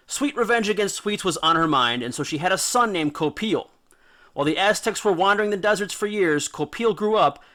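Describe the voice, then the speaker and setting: A man tells a tale as a playful storyteller. His voice is fairly high and very bright, with a forceful edge. He delivers scripted narration in casual wording, with theatrical punch and dramatic pauses. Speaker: host and narrator of a mythology series.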